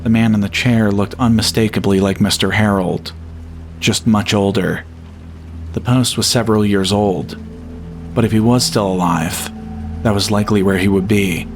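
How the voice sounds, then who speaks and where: Male horror podcast narrator, lower-pitched, measured and solemn, reading scripted first-person fiction over faint music. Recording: music bed behind the voice. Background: atmospheric music.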